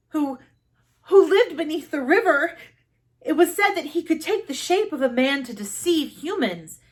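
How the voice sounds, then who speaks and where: A woman reading a children's story aloud as expressive narration, with deliberate dramatic emphasis and pauses. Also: fairly high pitch. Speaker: storybook reader.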